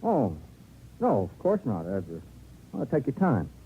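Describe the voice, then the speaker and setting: An actor playing a small-town newspaper editor with forced heartiness and an uneasy, hesitant edge in a 1950s radio drama. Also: male voice, mid-range voice, wildly swooping pitch, unhurried. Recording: narrow vintage radio recording, faint noise.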